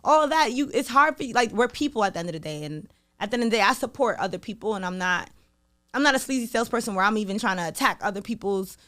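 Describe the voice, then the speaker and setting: Fast-talking young New York woman. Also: mid-range voice. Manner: animated, filler-heavy, lots of "like". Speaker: guest, radio sales executive. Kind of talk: casual interview chat.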